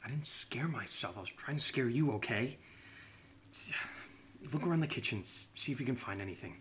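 A man's voice: mid-range voice, expressive, brisk, a little hiss or hum, natural voice. A man playing a sarcastic, hot-headed, foul-mouthed amateur sleuth in an audio drama, in naturalistic banter. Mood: dry, hushed. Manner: sarcastic, profane.

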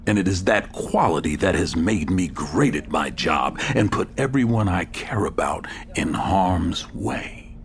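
Tormented detective, audio drama: low, expressive, confessional intensity. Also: male voice, measured pace. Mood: anguished, resolute. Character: intense, self-tormented male police detective. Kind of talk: audio fiction acting.

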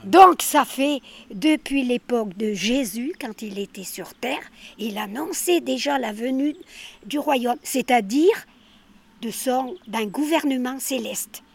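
Chatty older woman, bright high voice. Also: expressive, takes it slowly, gravelly. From France. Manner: talkative and emphatic, repeats words. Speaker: villager stopped for a street interview. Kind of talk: casual roadside chat.

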